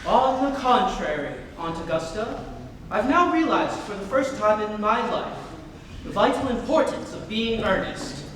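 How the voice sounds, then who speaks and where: A young man playing an excitable, earnest Victorian gentleman in a theatrical reading of a stage comedy. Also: sits high, normal speed. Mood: triumphant. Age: young adult. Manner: theatrical, exclamatory. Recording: echoey hall from far off, noisy.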